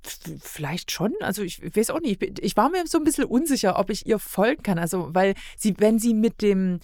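A woman's voice: medium pitch, expressive, brisk, darkish tone, no echo, clean studio sound. A woman in a casual review conversation, thinking aloud and often searching for words. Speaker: podcast guest.

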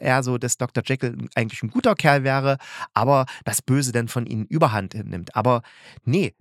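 Male podcast host, average pitch, very dry sound; colloquial, opinionated, rambling solo reviewer. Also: a bit bright, no room sound. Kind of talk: casual solo review chat.

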